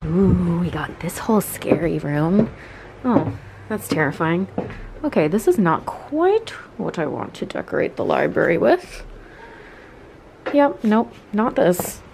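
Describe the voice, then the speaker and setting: A young adult woman chatting casually in a vlog, gushing and exclaiming in an excitable way. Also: medium pitch, takes it slowly, gravelly. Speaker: vlogger. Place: indoors, bookstores and an empty room at home. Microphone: handheld camera at arm's length. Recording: noticeable background noise.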